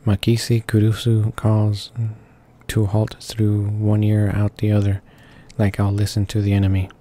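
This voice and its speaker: A man's voice: on the low side, completely flat delivery, measured pace, dark tone, a little hiss or hum. A man reading visual novel text aloud as a let's player, stumbling over words now and then. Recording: game music behind the voice. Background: video game music.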